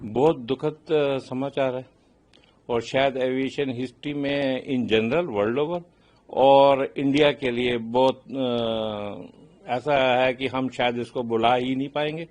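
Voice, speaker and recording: Older male aviation expert, Indian accent, mid-pitched voice, reflective interview delivery with English phrases mixed in. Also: fairly even intonation, slow, a little mellow. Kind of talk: interview commentary on news.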